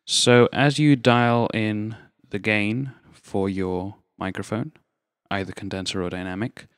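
Male tutorial presenter: fairly low, measured, explains step by step, very dry close-mic sound. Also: smooth, no room sound. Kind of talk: instructional product demonstration, explaining step by step. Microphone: external dynamic microphone, close.

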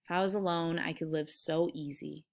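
Woman acting a subdued ranch-hand man, storybook character, weary, gruff, protective, flat terse country speech. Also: on the low side, normal speed, close to an everyday voice. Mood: flat, reluctant.

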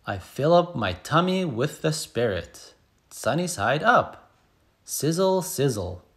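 Storybook role: excited little schoolgirl, played by a man, expressive and animated, unhurried delivery. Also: fairly high pitch, exaggerated voice. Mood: playful, emphatic. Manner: expressive, emphatic sound-effect words. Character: excited little schoolgirl telling her first day. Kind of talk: picture book read-aloud.

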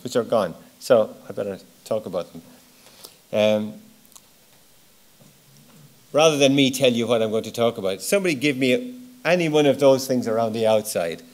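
A man's voice: fairly low pitch, animated, a little fast. A middle-aged man giving an informal, explanatory keynote at a lectern, plain-spoken, stressing key words and letting phrase ends trail off. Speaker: keynote speaker. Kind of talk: conference presentation, informal and explanatory. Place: large wood-panelled auditorium, at a lectern. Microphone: lectern gooseneck microphone.

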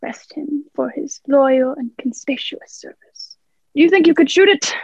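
A woman's voice: sits high, a little slow, creaky, no room sound, muffled recording. A woman playing a sly, hearty pirate ship's cook in a radio play, cajoling in salty pirate dialect. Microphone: own device, remote recording.

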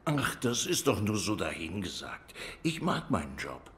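A man's voice: deep voice, very animated, measured pace, a bit bright, heavily acted. An actor playing a bored, self-righteous dog policeman who rambles insistently in a noir video game. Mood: defensive, animated.

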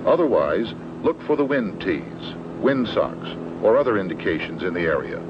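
An American man giving measured, matter-of-fact, formal instructional narration in the manner of a 1970s training film. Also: deep voice, sing-song. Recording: dull, noisy old 16mm film soundtrack.

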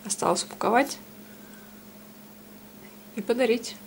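A woman's voice: fairly high pitch, unhurried, vocal fry. Woman, 30s, craft vlog host, friendly and chatty, heard from across a noisy room. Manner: friendly, chatty, addresses viewers directly. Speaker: woodcarving channel host. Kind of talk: casual craft vlog talk. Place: cluttered home workshop, indoors. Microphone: camera mic at a distance. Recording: roomy, distant, noisy workshop audio.

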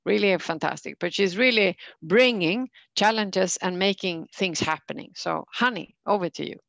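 A woman moderating an online panel and introducing a speaker in a halting way with frequent pauses. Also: on the low side, gravelly, a bit bright, no echo. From Sweden. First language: Swedish.

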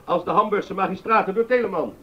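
An actor playing a measured, courteous city councillor speaking formally in a period drama. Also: male voice, sits high, lightly acted. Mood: courteous, doubtful. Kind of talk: period radio-drama acting. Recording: dull lo-fi sound.

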